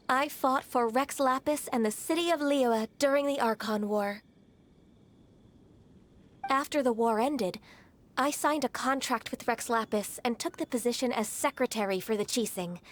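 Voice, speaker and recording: Woman playing a gentle, high-voiced formal secretary. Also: close to an everyday voice. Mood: earnest. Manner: polite, hesitant, formal phrasing. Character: gentle, formal half-human adeptus secretary, a dutiful woman. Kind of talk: video game voice acting.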